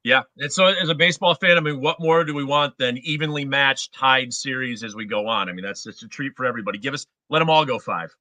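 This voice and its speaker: Very bright, crisp middle-aged American man. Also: mid-range voice, fast, hardly any pauses, dry recording. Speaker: interview guest, former stadium announcer. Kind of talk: casual sports interview.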